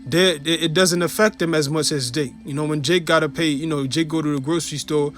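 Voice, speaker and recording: A man's voice: on the high side, fairly even intonation, a little fast, fairly bright tone, soft background music. A man with a Haitian accent giving an informal religious teaching, peppered with "you know" and "you see". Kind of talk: informal preaching video.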